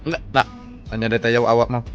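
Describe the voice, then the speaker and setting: A man's voice: mid-range voice, level tone, a bit bright, lo-fi sound, close to an everyday voice. An actor playing a teasing male neighbor in a radio drama, drawing out his words with a playful edge. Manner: teasing, chuckling, drawn-out words.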